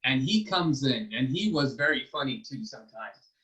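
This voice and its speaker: A man's voice: mid-range voice, animated, moderate pace, fairly bright tone, poor audio. A man narrating a family storytime for children in simple words, often checking in with his listeners. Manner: simple wording with check-in questions to young listeners.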